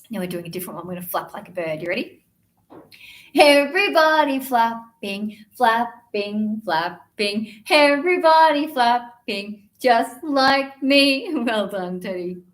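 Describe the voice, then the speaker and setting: A woman presenting children's storytime, reading picture books aloud with expressive, deliberate delivery aimed at young children. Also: fairly high pitch.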